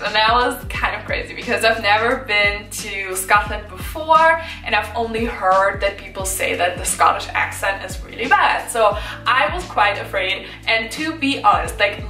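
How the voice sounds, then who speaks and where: Upbeat young woman vlogging, bright voice, says like a lot, a bit far from the mic in a roomy space. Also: mid-range voice. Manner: chatty, upbeat, lots of "like" and "so normal". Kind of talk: casual chat to camera. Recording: echoey room, slightly far from mic, light music.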